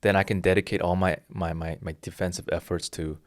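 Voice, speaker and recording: Low-voiced man explaining martial arts philosophy. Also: fairly even intonation, brisk, no room sound, clean studio sound. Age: 30s. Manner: earnest, explanatory, with many restarts. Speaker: jiu-jitsu instructor. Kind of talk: casual interview talk.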